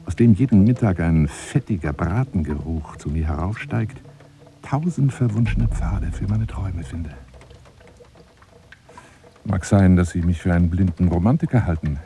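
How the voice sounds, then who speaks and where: A deep-voiced, lonely romantic braggart. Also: male voice. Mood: confiding, proud. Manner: florid, theatrical, self-dramatizing, shifting into hushed asides. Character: lonely, eccentric romantic braggart wooing a woman by telephone. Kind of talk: dramatic monologue in a radio play.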